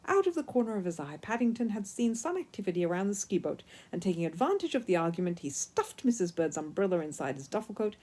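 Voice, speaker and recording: A woman's voice: medium pitch, sing-song, fast talker, few pauses, darkish tone. A woman narrating a children's story expressively, as a storyteller reading from a book.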